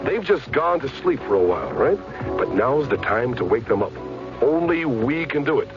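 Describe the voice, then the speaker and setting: A man's voice: medium pitch, very animated, moderate pace. A man reading a scripted radio message as an announcer. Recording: dull old radio recording with music.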